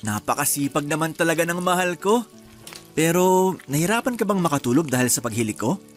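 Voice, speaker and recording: An actor playing a doting, playful young husband in a Filipino radio drama, with a Filipino accent. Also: male voice, fairly high pitch, expressive, brisk, faint music behind, lightly acted. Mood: doting, teasing.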